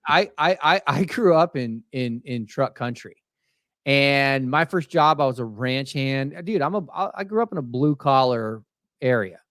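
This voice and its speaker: A man from Texas co-hosting a casual automotive industry podcast, talking in an animated way with frequent restarts. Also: mid-range voice, unhurried, dry recording, studio quality. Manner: animated, many restarts, acts out quoted voices. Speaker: podcast co-host.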